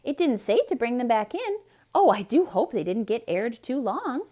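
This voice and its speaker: A naive, good-natured storybook housemaid, put on by a woman reading aloud. She is chirpy and earnest, with a raised, lively voice that bounces around in pitch. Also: moderate pace. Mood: innocent, eager. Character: cheerful, naive, literal-minded housemaid. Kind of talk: theatrical children's storybook read-aloud.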